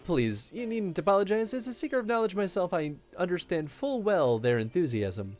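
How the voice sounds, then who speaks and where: A man's voice: sits high, sing-song, moderate pace, darkish tone, pitched above the performer's own voice. A man reading out the formal lines of a scholarly game character, stumbling now and then on long words. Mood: earnest. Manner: reads formal dialogue aloud, stumbling over big words.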